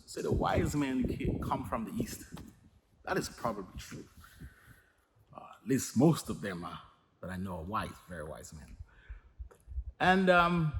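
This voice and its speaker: A middle-aged man from Dominica, telling his childhood story in a relaxed, rambling vlog full of fillers and repeated words. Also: mid-range voice. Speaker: vlogger. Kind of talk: casual personal storytelling. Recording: faint noise with long reverb.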